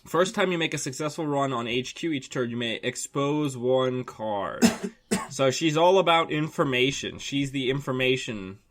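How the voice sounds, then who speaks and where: A man's voice: mid-range voice, expressive, normal speed, fairly bright tone. A man hosting a card game channel, interviewing a returning guest and explaining cards in a friendly way with lots of "uh"s. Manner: friendly, explanatory, filler-heavy. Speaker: channel host. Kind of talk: casual interview.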